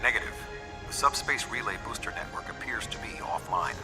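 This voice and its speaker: A man voicing a calm, logical alien admiral in a video game, measured and formal. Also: on the low side, music in the background, close to an everyday voice. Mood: composed, grave. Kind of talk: video game character acting.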